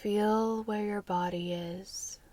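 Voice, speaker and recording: Slow, soft-spoken woman in breathy ASMR. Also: medium pitch, fairly even intonation, dry recording. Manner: gentle, slow, measured, soothing. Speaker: ASMR host.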